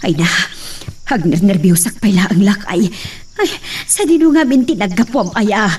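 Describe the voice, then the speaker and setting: A woman's voice: mid-range voice, lively intonation, normal speed, darkish tone, far from the mic, slight background noise, lightly acted. A woman playing an anxious, pleading farmer's wife with fretful exclamations in a melodramatic Filipino radio drama. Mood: nervous, worried.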